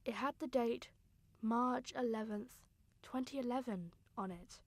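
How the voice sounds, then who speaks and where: A woman plays a grieving younger sister telling her story in a radio drama. The voice is fairly high, earnest and sombre, recorded close and dry with deliberate phrasing. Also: no echo. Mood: sombre, wondering. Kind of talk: scripted radio drama narration.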